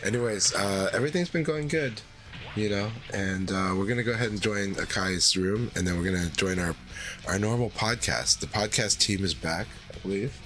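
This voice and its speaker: A man hosting a livestream in a relaxed, rambling way, his voice deeper than usual after secondhand smoke. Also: moderate pace, faint music behind. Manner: relaxed, rambling, many fillers. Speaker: streamer. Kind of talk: casual livestream chat.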